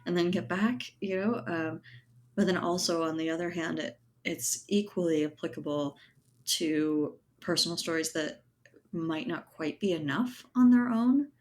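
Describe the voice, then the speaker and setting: A woman with a low-pitched voice talks reflectively as an interview guest. She is thoughtful and conversational, often tagging her phrases for agreement. Some background noise sits behind her. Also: measured pace, a little mellow. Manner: thoughtful, hedging, often ends phrases with right or you know. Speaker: podcast guest, writer and editor.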